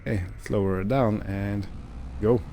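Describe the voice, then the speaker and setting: A man with a dark, relaxed, fairly low voice commentates casually on his own gameplay. He muses to himself without hurry, as if working out each step aloud. A faint steady rumble sits behind him. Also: moderate pace. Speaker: gamer narrating own farming simulator playthrough. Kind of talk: casual let's-play commentary, thinking aloud.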